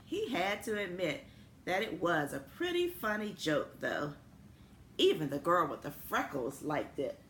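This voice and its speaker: A woman reading a children's story aloud expressively, in the manner of a school principal addressing young students. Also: fairly low pitch. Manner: animated, unhurried storytelling. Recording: echoey room with noticeable background noise.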